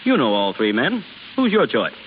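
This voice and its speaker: An actor playing a brisk, businesslike company president in 1930s radio drama style. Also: male voice, medium pitch, wildly swooping pitch. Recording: reverberant, dull, hissy 1930s radio recording.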